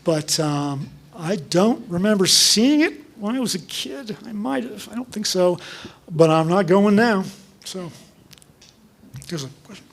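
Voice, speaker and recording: A man giving a public lecture and taking audience questions, talking in a halting way with many restarts and ums. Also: sits high, expressive. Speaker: guest lecturer.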